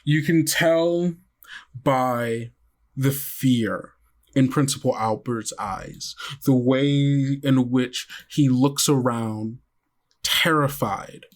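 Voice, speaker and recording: A man's voice: medium pitch, extremely slow. A man narrating a tabletop game as game master, with dramatic storytelling and casual asides. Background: faint music.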